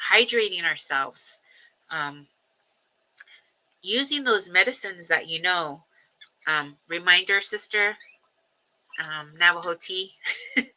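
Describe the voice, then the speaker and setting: A woman in her forties talks in a casual, reflective livestream, circling back on herself with ums and small restarts. Her voice sits a little low but has a very bright, edgy sheen. She stays earnest and steady even when she speaks of grief. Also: rough recording. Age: 40s. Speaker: livestream host.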